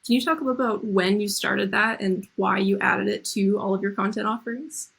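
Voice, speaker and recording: Gravelly, husky-voiced woman host. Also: mid-range voice, steady tone, brisk. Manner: polished, clear questions. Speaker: interview host. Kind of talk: scripted intro and interview questions.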